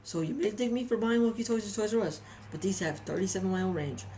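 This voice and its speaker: A woman's voice: mid-range voice, fairly even intonation, quick pace, dark tone, a little hiss or hum. A woman giving a casual, chatty hobby review with anecdotes, often repeating phrases for emphasis.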